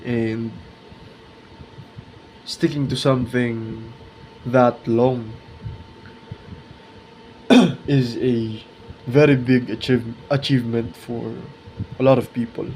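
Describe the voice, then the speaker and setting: A twenty-year-old man talking casually and reflectively to his viewers in a vlog, halting and correcting himself as he searches for words. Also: medium pitch, fairly even intonation, darkish tone, a little hiss or hum, low-quality recording. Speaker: art video creator.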